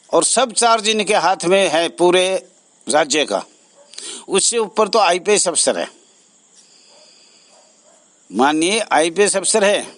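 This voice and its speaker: A man giving a direct address to supporters as an association president, earnest, halting and repetitive, pausing between phrases. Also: high-pitched, no room sound.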